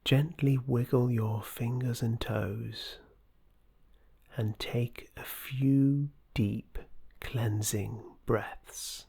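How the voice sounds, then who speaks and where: Slow, breathy, dark-toned meditation guide. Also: mid-range voice, fairly even intonation. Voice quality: soft, breathy, often near whisper. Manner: soothing, gentle, measured. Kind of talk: guided meditation, scripted narration.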